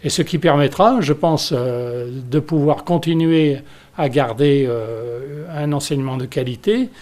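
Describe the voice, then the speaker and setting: A man from France speaking as a school delegate, explaining things unscripted in a measured way while searching for words. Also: on the high side, darkish tone.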